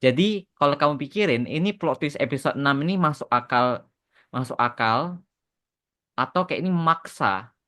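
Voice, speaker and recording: A man's voice: mid-range voice, expressive, normal speed, bright, forceful tone. An Indonesian man in a casual podcast Q&A, explaining things with repeated words for emphasis and slipping English phrases into his talk. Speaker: podcast host and animation author answering questions.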